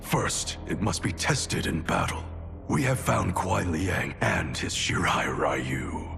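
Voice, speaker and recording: An actor playing a cold, domineering ninja grandmaster in a video game, speaking in an imperious, menacing manner. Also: male voice, low voice, big pitch swings, slow pace, vocal fry, full character voice. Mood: stern. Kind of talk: dramatic video game cutscene acting. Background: dramatic music.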